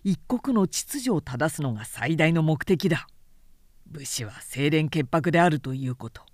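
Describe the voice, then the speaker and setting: A woman voicing a calm, principled male samurai in an audiobook, speaking quietly in a low, grave, formal and measured way. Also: dry recording, studio quality. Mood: stern, lecturing. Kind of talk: literary audiobook character reading.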